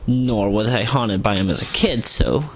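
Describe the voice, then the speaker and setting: A man rambling through an audio log in a halting way, with stiff, formal wording and repeated phrases. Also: lowish voice. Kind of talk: rambling audio log reading out comments with asides. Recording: clearly noisy home recording.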